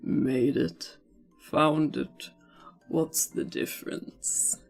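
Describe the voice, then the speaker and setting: Lady doing a smug talking cat from a storybook, real low n slow, creaky drawl. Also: fairly even intonation, darkish tone, faint music behind, heavily acted. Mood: aloof, knowing. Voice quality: smooth, low, silky. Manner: drawling, conversational, deliberate. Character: sly, unimpressed talking cat, smug and languid.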